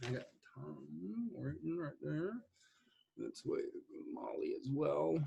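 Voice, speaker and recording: A man's voice: on the high side, slow, creaky, dark tone, breathy edge. A man organizing a film shoot, chatting on a video call and rambling reassuringly with many restarts. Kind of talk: casual chat on a video call.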